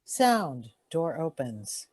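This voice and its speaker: A woman's voice: deep voice, big pitch swings, drawn-out words, darkish tone, breathy edge. A woman reading out scripted sound effect cues for a radio play.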